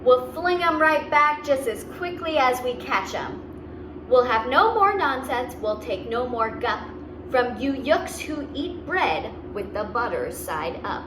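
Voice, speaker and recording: A woman's voice: high voice, expressive, measured pace. A woman voicing a rude, threatening, boastful rival soldier in a children's storybook. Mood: menacing, boastful. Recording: roomy, distant mic, clear background noise.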